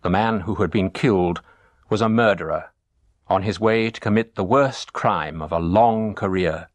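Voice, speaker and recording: A man reading a teaching story as a scripted narration, measured and expressive. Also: low-pitched, no room sound.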